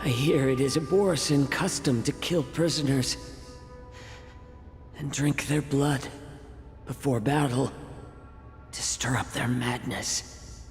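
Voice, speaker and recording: A man acts as a composed, cunning healer in a fantasy game, facing his captor with quiet defiance. His mid-range voice moves slowly and deliberately, trailing long reverb over background music. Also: medium pitch, lightly acted. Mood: quiet challenge. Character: calm, shrewd male healer captive, quietly defiant. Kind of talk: dramatic video game acting.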